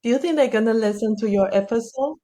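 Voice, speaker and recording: A woman's voice: fairly high pitch, fast talker, dark tone. A woman co-hosting a podcast, asking questions and chatting in a conversational style. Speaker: podcast co-host interviewing. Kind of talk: conversational interviewing.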